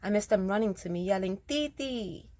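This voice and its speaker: A woman with a naturally raspy voice, a little rougher than usual, speaking earnestly to her listeners and reciting her own spoken word poem. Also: mid-range voice, animated, moderate pace, a little mellow, no echo. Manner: earnest, direct, addresses listeners as y'all. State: raspier than usual, throat slightly rough.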